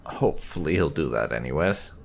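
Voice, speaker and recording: A man's voice: extremely low pitch, animated, brisk, vocal fry. A man giving casual playthrough commentary as a gaming host, with dry, muttered asides. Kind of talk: casual playthrough commentary, some in-character line reading.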